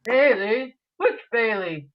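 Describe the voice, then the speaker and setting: Lady doing furious bully husband, high voice, radio play, threatening, far from the mic. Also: expressive, slow. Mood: menacing, angry. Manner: loud, threatening. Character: angry, bullying husband spoiling for a fight. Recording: dull distant video call audio.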